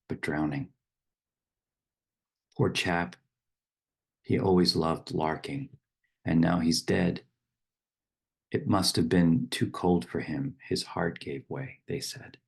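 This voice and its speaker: A man leading a guided meditation with poetic readings, speaking slowly and unhurriedly with pauses between short phrases. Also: very deep voice, fairly even intonation, gravelly, a little mellow, husky. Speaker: meditation teacher.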